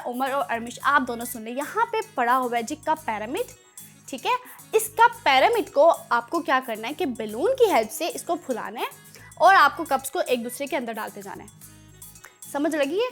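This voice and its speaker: A woman with a Pakistani accent and a high voice hosts a children's program. She presents in a playful, encouraging way, addressing young children directly. Music plays behind her. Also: fairly bright tone. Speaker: children's show host.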